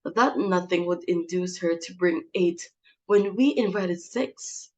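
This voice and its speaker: A woman's voice: on the low side, slow. A woman acting a domineering, melodramatic middle-aged society hostess in a dramatic play reading, theatrical and exasperated. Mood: frantic despair.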